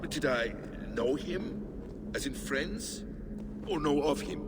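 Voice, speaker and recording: An actor playing an evasive Norse trickster god in a video game, with a wry, glib delivery. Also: male voice, fairly high pitch, animated, slow pace, background noise. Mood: sly. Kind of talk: dramatic video game acting. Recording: long reverb, music clearly behind.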